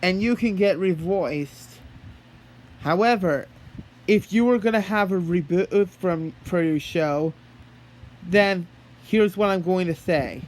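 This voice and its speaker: A man talking in an earnest, halting way, as if holding a one-sided phone conversation. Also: high voice, darkish tone, low-quality recording. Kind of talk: one-sided pretend phone call, rambling monologue.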